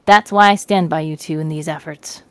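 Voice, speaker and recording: A woman's voice: deep voice, fairly even intonation, moderate pace, fairly bright tone, smooth, no echo, voice pushed down. A woman voicing a stern, dutiful male military veteran in a read-aloud story. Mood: grave.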